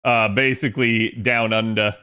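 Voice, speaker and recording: A man's voice: lowish voice, steady tone, normal speed, sharp tone, clear, resonant tone. Streamer, male, easygoing slang-heavy chat over gameplay. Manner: laid-back, slangy, laughs at himself. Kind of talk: casual gaming stream chat.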